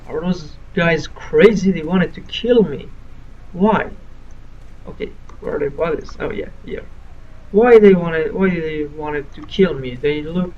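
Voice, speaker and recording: Halting man, distant mic. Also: on the high side, darkish tone, highs cut off, slight background noise. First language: Farsi. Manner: halting, searching for words. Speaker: gaming video host. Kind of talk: casual let's-play commentary.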